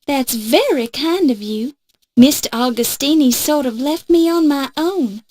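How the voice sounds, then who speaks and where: High-voiced, plucky young woman. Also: lively intonation, a little slow, mellow, no room sound. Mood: polite, unsure. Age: young adult. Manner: earnest, polite, determined. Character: eager, polite, plucky young woman, a novice reporter. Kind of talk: scripted adventure game acting. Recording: music under the voice.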